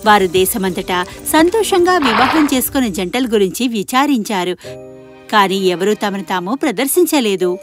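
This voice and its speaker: A woman narrating a scripted children's fairy tale in an expressive storytelling manner. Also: medium pitch, normal speed, music in the background.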